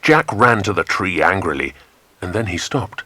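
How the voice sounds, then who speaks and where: An English man narrates a children's adventure audiobook. His voice sits fairly low and moves expressively up and down. The storytelling is measured and dramatic, with a dry, close sound. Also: animated, bright, forceful tone, no echo.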